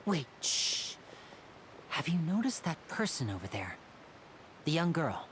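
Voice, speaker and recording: A man voicing a youthful stage magician in a video game, polite, smooth and self-assured, with music behind him. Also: fairly high pitch, sing-song, unhurried, fairly bright tone, slight background noise. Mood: sly, alert. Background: prominent music.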